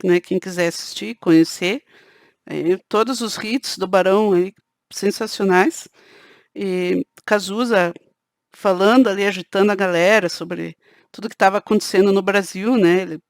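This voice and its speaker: A woman's voice: medium pitch, steady tone, normal speed, no echo, clean studio sound. Woman with a southern Brazilian caipira accent. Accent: Brazilian Portuguese, southern countryside (caipira). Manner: conversational, peppers sentences with "né". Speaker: channel host. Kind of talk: casual talk to camera.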